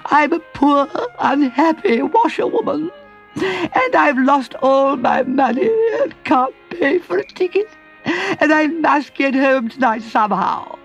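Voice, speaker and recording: A man voicing a boastful male toad who fakes being a weepy washerwoman, wailing in a sobbing falsetto. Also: expressive, slow pace, vocal fry, a bit bright, dull audio, soft background music, exaggerated voice. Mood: weepy pleading.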